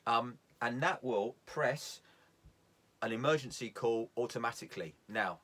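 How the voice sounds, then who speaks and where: A man's voice: mid-range voice, a little slow, a bit bright. A man giving an informal, hands-on instructional talk as a sailing instructor, plain-spoken and step by step, repeating key points for emphasis.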